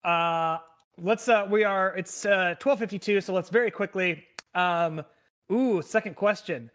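High bright excitable male podcast host. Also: unhurried, smooth. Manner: excitable, hesitant, many fillers and restarts. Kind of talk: casual podcast chat.

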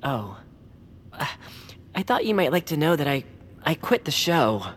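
A woman's voice: deep voice, sing-song, measured pace, background noise. A woman playing an earnest, self-doubting amateur theatre director in a comedic audio drama, with a hesitant, conciliatory manner. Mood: hesitant, dejected.

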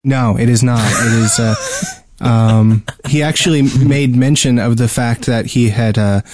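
A man's voice: mid-range voice, a little slow, noisy background. A man co-hosting a gaming podcast in casual chat, talking hesitantly and often repeating words mid-sentence. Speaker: podcast co-host.

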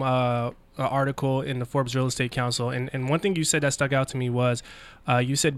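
A man's voice: medium pitch, clean tone. A man hosting a podcast interview, keeping the banter loose and friendly before asking long questions. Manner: friendly, hype-filled banter, reads a quote aloud. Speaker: podcast host.

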